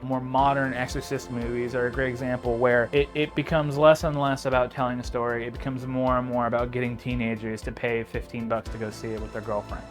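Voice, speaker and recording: Casual, sardonic young man doing commentary. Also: mid-range voice. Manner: informal, sardonic, with joking asides. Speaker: video essayist. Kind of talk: casual scripted video essay commentary.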